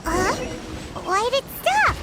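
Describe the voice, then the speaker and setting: An extremely high, squeaky tiny companion. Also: female voice, takes it slowly, very noisy, heavily acted. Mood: eager. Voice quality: squeaky, childlike. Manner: excitable, cheeky. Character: tiny childlike floating companion, eager, plucky, excitable. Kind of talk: scripted video game voice acting.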